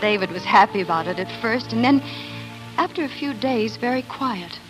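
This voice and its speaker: A woman's voice: fairly high pitch, animated, normal speed, lightly acted, low-quality recording. An actress playing an earnest young pioneer farm wife and mother, telling her story in a 1940s radio drama. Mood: proud, reflective. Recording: 1940s radio recording with music.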